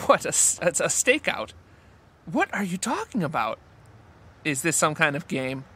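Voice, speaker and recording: A pedantic, skeptical geek acted by a man in a comedic audio drama. His voice is sharp and bright, leaping up and down as he sounds fussy and incredulous. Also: a little high, sing-song, a little slow, slightly rough, full character voice. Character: exasperated, pedantic sci-fi fan, reluctant skeptic.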